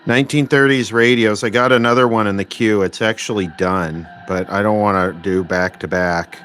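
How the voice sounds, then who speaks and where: A man hosting a casual livestream about electronics repair, thinking aloud in a halting, rambling way with dry, wry remarks. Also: on the low side. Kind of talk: casual unscripted livestream chat, reading and answering viewer requests. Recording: clear steady background noise.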